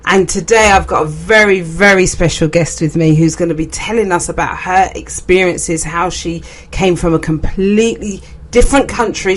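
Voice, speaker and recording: Woman radio host, upbeat, laughs a lot, mid pitch, a bit off-mic, faint hiss. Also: distant mic. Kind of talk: radio interview.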